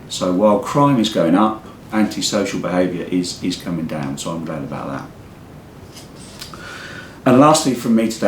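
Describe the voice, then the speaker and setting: A hoarse man with a croaky voice from a cold, a local police commander giving an earnest off-the-cuff community update. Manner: plain, earnest, repeats words while speaking off the cuff. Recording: echoey room with faint rumble.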